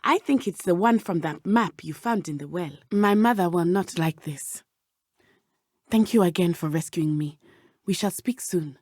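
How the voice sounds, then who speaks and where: A woman voicing a brave, earnest teenage witch schoolgirl in a video game, speaking in a formal, measured way. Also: medium pitch, a little mellow, breathy edge, no room sound, studio quality. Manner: formal, measured, avoids contractions. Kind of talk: scripted game companion dialogue.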